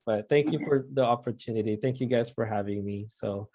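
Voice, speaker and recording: A man's voice: medium pitch, a little fast, warm tone, no echo. A man with a Filipino accent, a guest speaking on a video call, shy and laughing as he trails off. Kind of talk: casual video call chat.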